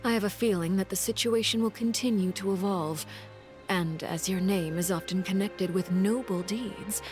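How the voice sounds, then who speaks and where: A woman playing a composed, calculating intelligence agent in a video game, speaking in a measured, formal, coolly polite manner. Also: mid-range voice, a little mellow, natural voice. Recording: music behind the voice.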